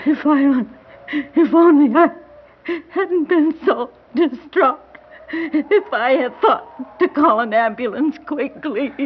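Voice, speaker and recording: On a dull, hissy old radio drama, a grieving elderly grandmother. Her high voice quavers and breaks as she speaks slowly and haltingly, bewildered and refusing to believe. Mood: distraught. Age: older. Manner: halting, repeating words in distress.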